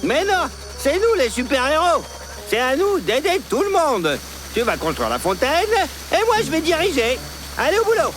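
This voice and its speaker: A man voicing a self-important, excitable flying dinosaur superhero in a French-dubbed cartoon, bossy and full of himself. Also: very high-pitched, moderate pace, noisy background, full character voice. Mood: bossy, eager. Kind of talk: animated cartoon dub acting. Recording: music behind the dialogue.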